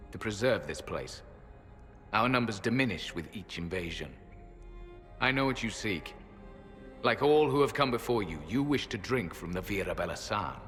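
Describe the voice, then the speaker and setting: Game role: a grave ancient elf sentinel, low and bright-edged, ringing in a long hall over faint music. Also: male voice. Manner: solemn, formal and cold. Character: ancient stern male elven temple sentinel.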